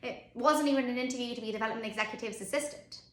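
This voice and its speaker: A woman's voice: on the high side, very fast, lightly acted. A woman performing a dramatic monologue as a driven, candid, haunted mother, speaking emphatically with theatrical swings in volume. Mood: wry, confiding. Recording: roomy echoing sound.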